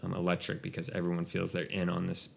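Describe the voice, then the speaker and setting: Deep gravelly man, flat and rambling. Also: fast, slight background noise. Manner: rambling, many restarts and fillers. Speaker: podcast co-host. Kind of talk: casual music chat.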